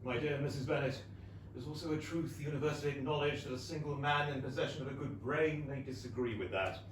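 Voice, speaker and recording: A man's voice: medium pitch, fast talker, natural voice. A man playing a dry, sardonic, long-suffering middle-aged husband in a comic period stage reading. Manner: deadpan, droll, theatrical. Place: small London theatre. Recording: very echoey theatre, distant, noisy.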